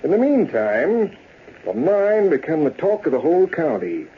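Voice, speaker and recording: A man's voice: on the high side, sing-song, moderate pace. A man playing a folksy American old-west storyteller in an old-time radio western, speaking in a colloquial drawl. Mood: folksy, animated. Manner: drawling, colloquial, chuckling cowboy yarn-spinning. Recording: tinny, noisy old radio broadcast recording.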